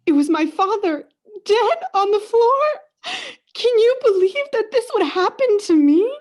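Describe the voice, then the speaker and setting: Woman as a high-pitched, melodramatic, self-absorbed mother. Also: animated, unhurried, a little mellow. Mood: overwrought, self-pitying. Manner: overwrought, theatrical, self-pitying. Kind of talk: student radio play acting.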